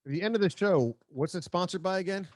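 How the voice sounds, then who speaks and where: A man's voice: sits high, brisk, a little mellow, no room sound, studio quality. An American man, middle-aged, hosting a casual sports podcast chat.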